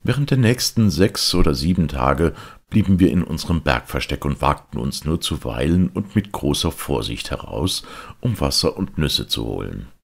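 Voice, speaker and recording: A man reading a literary audiobook as a narrator, with measured, dramatic storytelling delivery. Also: extremely low pitch, big pitch swings, vocal fry, breathy edge. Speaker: audiobook reader.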